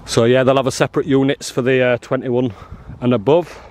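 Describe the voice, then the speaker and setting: A man filming outside a prison, talking loudly and bluntly to the officers and to his viewers. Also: medium pitch, flat delivery, a little fast. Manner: loud, blunt and challenging, calls people "love" and "mate". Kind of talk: confrontational street filming with commentary to viewers.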